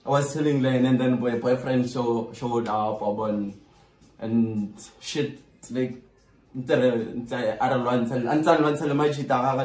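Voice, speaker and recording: A young South African man talks in loose street slang, pleading and exasperated as if on a call to a friend. His pitch is average for a man. He sounds a little way from the mic in a small, echoey bedroom. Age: 20s. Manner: animated, exasperated, pleading, swearing. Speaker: prankster. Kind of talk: casual slangy prank phone call. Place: small bare bedroom indoors. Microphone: camera a short distance away. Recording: roomy echo, distant from mic.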